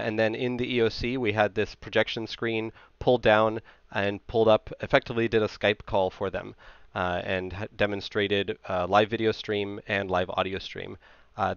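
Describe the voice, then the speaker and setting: A low-voiced American man explains technical material in an informal presenting style. His voice is smooth and clean, picked up close on a headset with very little room sound. He talks through things step by step, checking in as he goes. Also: no room sound. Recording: slightly dull, band-limited headset audio.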